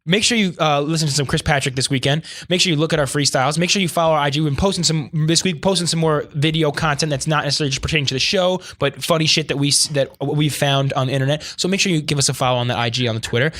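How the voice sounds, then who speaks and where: A brash, jokey man hosting a podcast who talks quickly. His voice sits a bit high for a man. He keeps things upbeat as he banters with his co-hosts. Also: fairly bright tone. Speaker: podcast host. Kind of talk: casual chat.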